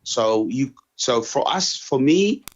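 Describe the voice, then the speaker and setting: A middle-aged man from Tonga with a Tongan accent, English being his second language, answering interview questions in an earnest, halting way that restarts and repeats phrases. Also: mid-range voice, expressive. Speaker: interviewee sharing his university experience. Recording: dull lo-fi recording.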